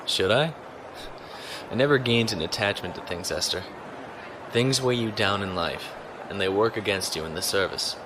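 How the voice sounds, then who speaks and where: A man playing a calm, courteous space navy lieutenant in an audio drama, speaking in a measured, dry way. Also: on the low side, noisy background, close to an everyday voice. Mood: conversational. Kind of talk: audio drama acting with log narration.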